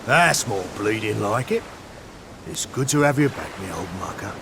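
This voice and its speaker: A man playing a burly, loyal ship's crewman in a video game, blunt and rough-and-ready with a Cockney accent. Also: fairly low pitch, animated, normal speed, background noise. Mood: approving, hearty. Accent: British, Cockney. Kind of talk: video game cutscene acting.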